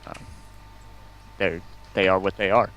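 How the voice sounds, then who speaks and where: A man chatting informally as a guest on an online stream, halting and repeating words as he goes. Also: low voice, steady tone, fairly bright tone, highs cut off. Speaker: interview guest, gamer. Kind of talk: casual online chat.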